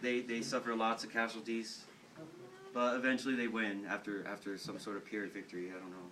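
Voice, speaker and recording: Young American male, casual joking storyteller with slangy delivery, slightly low voice, picked up from a distance. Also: flat delivery, a little fast. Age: teens to young adult. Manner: slangy, irreverent. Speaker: student cadet telling history stories. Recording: noisy room, distant microphone.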